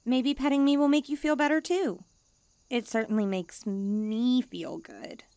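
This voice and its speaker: A woman's voice: fairly high pitch, measured pace, darkish tone, dry recording. A woman playing a haughty, needy young stray catgirl, blunt and cutesy, in an ASMR comfort roleplay. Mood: smug, coaxing.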